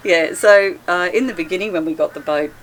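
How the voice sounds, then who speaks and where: Low-pitched woman, chatty storyteller, faint background noise. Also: brisk, poor audio. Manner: chatty, laughs often, lively retelling. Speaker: guest boat owner. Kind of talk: casual storytelling chat.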